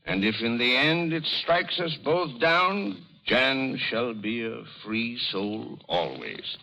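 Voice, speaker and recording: A proud, freethinking middle-aged father in a vintage radio drama. His delivery is oratorical and swings widely in pitch, over a dull, lo-fi recording. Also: lively intonation, takes it slowly, gravelly, pushed and bright, full character voice. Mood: impassioned, defiant. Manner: declamatory, oratorical. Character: doting, defiant, freethinking father, proud and theatrical. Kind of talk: old-time American radio drama acting.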